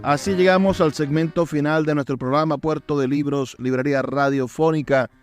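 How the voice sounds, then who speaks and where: A man's voice: on the high side, steady tone, moderate pace, over music. A Venezuelan man presenting a radio program and speaking directly to his listeners.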